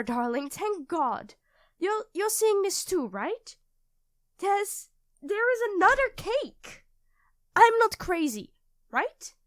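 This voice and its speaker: A woman's voice: extremely high pitch, fairly bright tone, dry recording, studio quality, full character voice. A young woman playing an affectionate, easily flustered girlfriend in an ASMR roleplay, speaking expressively and intimately to her partner. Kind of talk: ASMR roleplay acting addressed to the listener.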